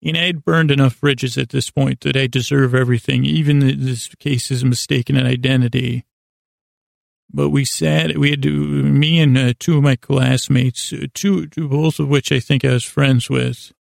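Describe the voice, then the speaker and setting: Man, American accent, heavy gravelly fry, flat monotone, halting podcast monologue, deadpan and meandering. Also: medium pitch, dry recording, clean studio sound. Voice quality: gravelly, creaky. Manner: halting, meandering, self-interrupting, deadpan whimsy. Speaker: podcast host. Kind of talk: rambling, improvised monologue storytelling.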